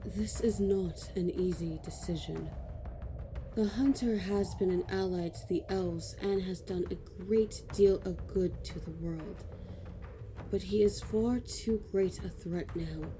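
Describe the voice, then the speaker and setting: A woman voicing a composed, firm elf queen in a fantasy audio drama, measured and formal. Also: on the low side, steady tone, music in the background. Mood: regretful, firm.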